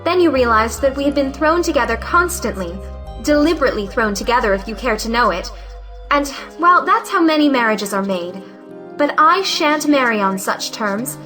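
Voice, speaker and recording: A woman's voice: high voice, animated, moderate pace, pushed and bright, faint music behind. An actress playing a proud, frank young woman in an audio play, speaking with emphatic dignity. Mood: proud, scornful. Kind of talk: audio play acting of a 1910s stage comedy.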